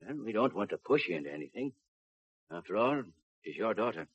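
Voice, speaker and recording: Police inspector: gravel-edged, blunt, persuasive, lively pitch swings, vintage radio crime drama, dull sound. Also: male voice, fast, no room sound. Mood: persuasive, earnest. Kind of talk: 1950s radio drama acting. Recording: dull, dry 1950s radio recording.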